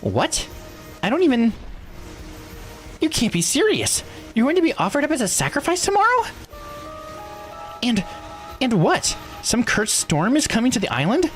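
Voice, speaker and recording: High, very animated young game character acted by a man. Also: moderate pace, pauses often, faint music behind, heavily acted. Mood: shocked disbelief. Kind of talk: visual novel dialogue read with expression.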